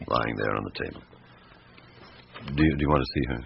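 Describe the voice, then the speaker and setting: A stern radio-drama police inspector with a very deep, gravelly voice. He questions in a measured, authoritative way. The recording is muffled and faintly hissy. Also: animated, full character voice. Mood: grave, firm. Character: stern, probing French police chief inspector, methodical man. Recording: muffled old radio recording, background hiss.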